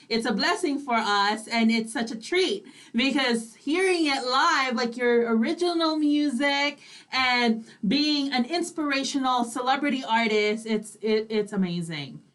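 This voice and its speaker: A woman's voice: on the high side, moderate pace, a bit bright. A woman co-hosting an online radio interview, talking in an effusive, informal way. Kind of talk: casual interview chat.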